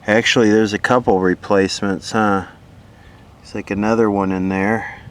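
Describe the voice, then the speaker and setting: A man's voice: on the low side, level tone, normal speed. A man giving casual off-the-cuff commentary as he looks over old equipment, musing aloud and asking himself questions with a sardonic streak. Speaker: host of a vintage radio repair video.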